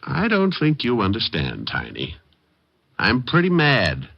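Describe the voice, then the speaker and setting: An actor playing a tough, wisecracking private detective with a deadpan, sardonic, coolly menacing delivery, in old-time radio drama style. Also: male voice, medium pitch, unhurried, vocal fry, dry recording, full character voice. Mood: calm menace. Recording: muffled, dry vintage radio recording.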